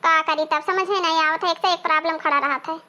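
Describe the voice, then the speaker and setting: Woman doing worried cartoon village housewife, awadhi accent, really really high bright voice, pleading and chatty. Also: fairly even intonation, quick pace. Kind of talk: cartoon family comedy dialogue.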